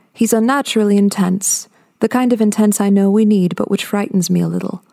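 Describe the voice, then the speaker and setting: An actress playing a weary, uneasy investigator of hauntings in her fifties, reading a report in a measured, subdued way for an audio drama. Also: mid-range voice, creaky, warm tone. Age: 50s.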